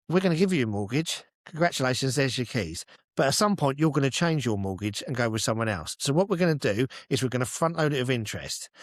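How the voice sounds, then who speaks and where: A British man chats casually as a podcast co-host in a fairly high male voice. He explains things with blunt, sweary conviction, often posing a question and answering it himself. The sound is dry, with very little room. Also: no room sound. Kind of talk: casual chat.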